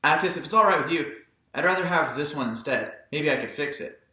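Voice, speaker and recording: An earnest boy from a storybook, as played by a man, polite and moody. His delivery is bright and halting, pitched up in moments of urgency. The room rings heavily with echo. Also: animated. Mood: polite, eager. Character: Jem, earnest boy of about twelve, polite, moody, Southern. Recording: very echoey room.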